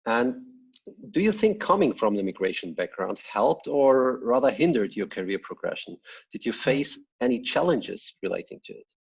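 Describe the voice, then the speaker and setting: A man's voice: medium pitch, sing-song, moderate pace, gravelly, husky, lo-fi sound. A man hosting an interview, asking brief questions. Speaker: interviewer, host.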